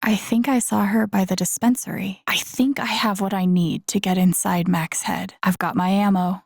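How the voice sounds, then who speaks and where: Casting: wry, grieving young woman, game drama lead; soft, gravelly fry, introspective muttering, close dry sound. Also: fairly even intonation, moderate pace, dry recording. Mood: quietly determined. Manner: low-key, introspective, muttering to herself. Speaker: video game voice actress. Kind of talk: naturalistic game character lines, inner-monologue asides.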